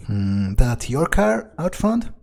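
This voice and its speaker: A man's voice: medium pitch, sing-song, slow pace, vocal fry. A man roleplaying his game character aloud, musing to himself with cold, menacing understatement. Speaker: gameplay video player.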